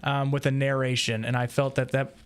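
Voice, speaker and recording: A man hosting a casual roundtable discussion, feeling out his thoughts in a halting way. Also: medium pitch, level tone, clean tone. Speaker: host of a book discussion podcast.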